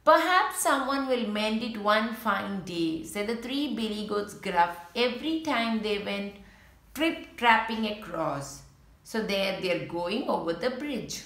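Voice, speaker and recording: A woman's voice: medium pitch, expressive, takes it slowly, a bit bright, roomy sound. A woman reading a picture book aloud to young children, telling the story and pointing out the pictures as she goes. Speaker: children's story reader and channel host.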